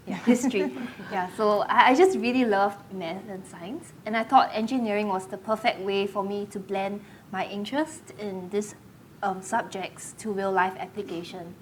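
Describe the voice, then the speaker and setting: A woman's voice: mid-range voice, a little hiss or hum. Earnest woman engineer on a panel. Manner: earnest, with small stammers and laughs. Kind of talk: panel talk about her career.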